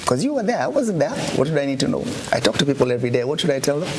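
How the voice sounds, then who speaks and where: Lively, playful man. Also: mid-range voice, racing. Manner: playful, teasing, animated questioning. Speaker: news show host interviewing a pupil. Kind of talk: casual on-the-spot interview. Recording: noisy background ambience.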